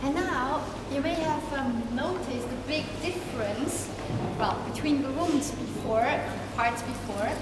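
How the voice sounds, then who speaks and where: A woman's voice: high-pitched, a little slow. Woman tour guide in an echoey hall. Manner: loose, self-correcting explanations with many fillers. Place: large ornate hall indoors. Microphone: handheld camera held away from her. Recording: echoey hall from far off, noisy.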